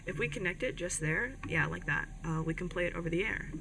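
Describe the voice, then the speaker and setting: A woman's voice: fairly low pitch, fairly quick, vocal fry, sharp tone, far from the mic, slight background noise. A woman playing an earnest, protective young rebel radio host in an audio drama, speaking hesitantly and directly to listeners. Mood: uncertain. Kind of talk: podcast audio drama, conversational radio monologue.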